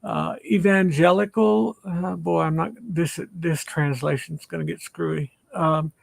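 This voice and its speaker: A retired older pastor hosting a casual online chat, rambling with many fillers and restarts. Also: male voice, fairly high pitch, measured pace, vocal fry, dry recording.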